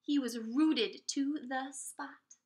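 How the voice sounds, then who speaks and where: Casting: female preschool teacher, storybook read-aloud, slow and expressive, high-pitched, prompting kids with questions. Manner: expressive, drawn-out words, prompting children with questions.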